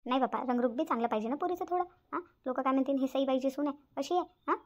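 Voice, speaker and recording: Cartoon mom, nagging middle-aged villager, voiced by a woman, high and very rapid. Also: darkish tone, natural voice. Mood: doubtful. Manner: complaining, nagging. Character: middle-aged village mother, fussy and grumbling. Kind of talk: cartoon voice acting. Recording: dull, lo-fi sound.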